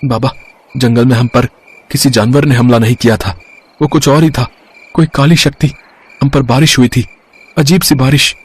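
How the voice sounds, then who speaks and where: A man playing a brave young villager, earnest and emphatic, in dramatic dialogue for an animated moral story. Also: mid-range voice, moderate pace, long pauses, husky, lightly acted. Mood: shaken, distressed.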